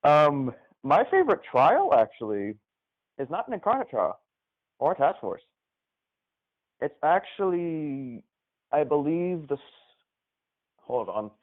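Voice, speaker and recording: A man's voice: a little high, big pitch swings, a little slow, pauses often. A man calling into a gaming livestream, chatting and reminiscing casually over a live call. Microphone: live call into the stream. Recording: tinny, band-limited phone-like call.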